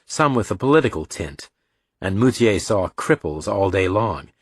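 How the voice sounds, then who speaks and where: Male audiobook narrator, lowish pitch, dry close-miked scripted storytelling. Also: big pitch swings, normal speed, slightly rough, no room sound.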